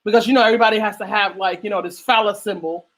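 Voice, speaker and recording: A woman's voice: medium pitch, steady tone, racing. A middle-aged woman hosting a radio talk show, speaking in an emphatic, lecturing way that repeats phrases for weight. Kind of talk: passionate discussion.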